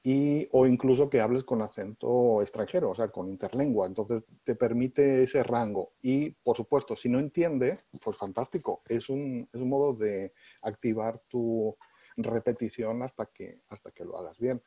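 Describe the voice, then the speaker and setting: A man, a professor from Spain, explaining things in a relaxed interview with frequent pauses and restarts. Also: medium pitch, husky, no room sound, dull audio. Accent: neutralized Spanish, mixing Spain and Mexico.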